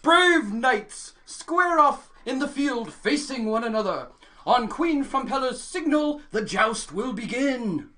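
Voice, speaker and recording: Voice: mid-range voice, animated, takes it slowly, sharp tone. An actor playing a grand medieval tournament herald, proclaiming ceremoniously like a comic sports announcer. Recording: noticeable background noise.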